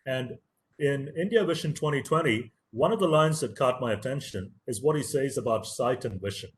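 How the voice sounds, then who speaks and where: A man's voice: medium pitch, lively intonation, normal speed, a little hiss or hum. A man whose first language is Tamil gives an earnest, motivational webinar lecture as a professor, stressing key words and repeating phrases for emphasis.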